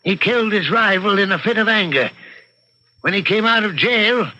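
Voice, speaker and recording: Stern older gentleman, pedantic, suspicious, very sharp forceful tone, high pitch, radio drama. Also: normal speed, dull audio. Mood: pedantic, grim. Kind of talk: old-time radio mystery acting.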